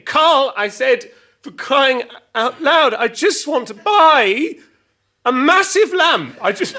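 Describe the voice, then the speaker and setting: A man playing a flustered, exasperated storyteller, halting and repeating himself through a comic monologue full of petty grievances. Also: high-pitched, pushed and bright, heavily acted. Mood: exasperated outburst. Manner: halting, repetitive, stumbling over words. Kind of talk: rambling semi-improvised comic monologue with acted argument quotes.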